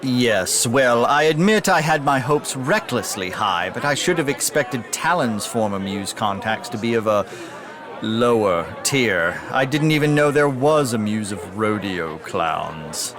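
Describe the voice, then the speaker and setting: An actor playing a snobbish elf museum scholar who speaks with haughty precision in an audio drama. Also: male voice, medium pitch, lively intonation, a little slow, over music, natural voice. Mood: disdainful.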